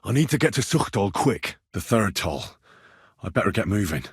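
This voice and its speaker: An actor playing an earnest, hot-headed young squire in a medieval video game, with a plain-spoken, earthy way of talking. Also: male voice, on the low side, big pitch swings, normal speed, breathy edge, no echo, studio quality, full character voice. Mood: earnest, resolute. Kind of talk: video game character voice lines.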